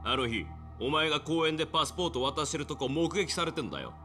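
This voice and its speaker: An actor playing a vicious, hot-tempered gangster bully in a Japanese video game, with rough, threatening street speech. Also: male voice, fairly high pitch, sing-song, quick pace, pushed and bright, soft background music. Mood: menacing.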